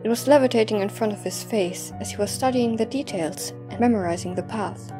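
A woman's voice: mid-range voice, fairly quick, music in the background. A woman reading scripted storytelling narration as the narrator of an audio drama.

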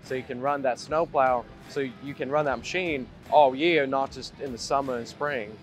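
A man's voice: medium pitch, fairly even intonation, normal speed. A young man giving a casual testimonial in an outdoor interview. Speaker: lawn landscape business attendee. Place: outdoors at a trade expo. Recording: outdoor interview with faint music.

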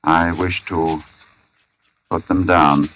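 An actor playing a brooding, haunted middle-aged artist in an old-time radio suspense drama, narrating in formal, deliberate phrases with dramatic pauses. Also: male voice, deep voice, flat delivery, a bit bright, rough recording. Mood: somber, foreboding. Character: brooding forty-year-old male artist, haunted, precise, foreboding. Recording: vintage radio broadcast recording.

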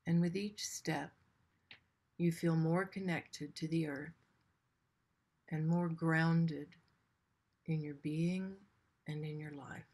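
A woman leading a guided meditation in a gentle, soothing manner, leaving long pauses between short phrases. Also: low-pitched, steady tone.

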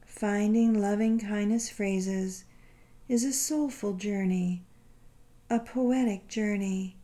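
A woman leads a guided meditation in a soft, mellow voice of medium pitch. She speaks very slowly and soothingly, leaving generous pauses between gentle phrases. The delivery stays relaxed and unhurried throughout.

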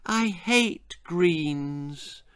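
A man's voice: fairly high pitch, expressive, extremely slow, fairly bright tone, smooth, voice pushed up, heavily acted. A man voicing a sickly, naughty boy in a children's storybook, stubborn and mocking. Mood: sullen defiance. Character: sickly, naughty, sullen boy who hates greens.

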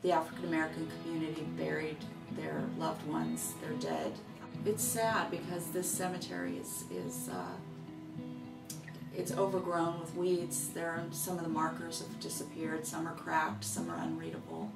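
A woman's voice: low-pitched, very animated, moderate pace, gravelly. A middle-aged woman speaking casually in an interview about her own work. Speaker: author of a local history book, interviewee. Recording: echoey, distant mic, loud music underneath. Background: guitar music.

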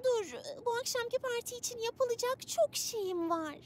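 A woman voicing a sweet, cheerful, curious eight-year-old girl in a children's cartoon dub, bubbly and expressive. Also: very high voice, moderate pace. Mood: excited. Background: loud music.

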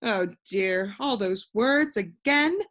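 A woman's voice: mid-range voice, expressive, slow, fairly bright tone. A little storybook boy, voiced by a woman, earnest and easily worried. He sounds plaintive and questioning.

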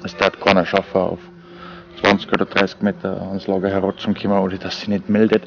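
A man's voice: low-pitched, monotone, fairly quick, vocal fry, lo-fi sound, over a noisy crowd. A man, talking casually to camera and his dog while camping in a forest, rambling with many fillers. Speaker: outdoor vlogger. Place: forest camp at night, outdoors.

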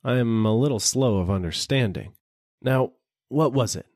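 A man voicing a sly little storybook jackal who acts slow-witted, vacant and overly apologetic. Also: medium pitch, darkish tone, no echo, clean studio sound, pitched above the performer's own voice, natural voice. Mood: vacant, puzzled. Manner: vacant, apologetic, exaggeratedly puzzled.

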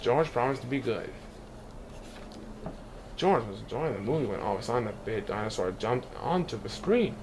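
A man reading a picture book aloud in an expressive way, now and then stumbling over words. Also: mid-range voice, moderate pace, pauses often, background noise. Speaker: children's book reader.

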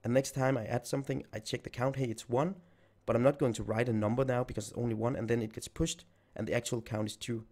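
Man losing his voice, gravelly. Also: medium pitch, steady tone, fast talker, hardly any pauses, darkish tone. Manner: thinks aloud while typing code. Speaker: programming tutor. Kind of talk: screen-recorded coding tutorial.

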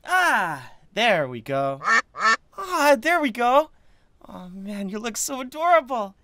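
A man plays an excitable male devil sweetheart for a roleplay audio. His bright voice swoops widely in pitch and takes its time. He is playful and doting, stumbling over his words as he gushes. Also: takes it slowly, no room sound, exaggerated voice. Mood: giddy, playful. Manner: stammering, gushing, swings from gentle to manic.

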